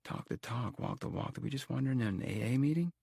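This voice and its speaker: A man's voice: medium pitch, lively intonation, quick pace, vocal fry, breathy edge, no echo, studio quality. A man voicing a wry young male friend who whispers jokes. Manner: hushed, joking whisper.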